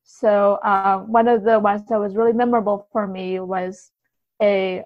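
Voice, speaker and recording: A woman speaking as an interview guest, casually recounting experiences in a halting, think-aloud way. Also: mid-range voice, flat delivery, no echo, narrow, tinny audio. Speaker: podcast guest, writer and translator.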